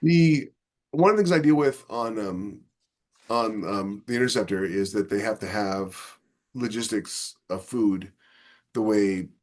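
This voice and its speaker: A man in casual discussion as a podcast host, somewhat halting as he thinks aloud. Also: lowish voice.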